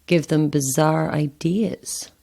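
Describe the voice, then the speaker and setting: A woman's voice: low voice, steady tone, slow pace, gravelly, warm tone, exaggerated voice. A woman with an Irish accent playing a curious, easygoing tourist in a naturalistic audio horror drama, conversational and thoughtful. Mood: intrigued, reading. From Ireland.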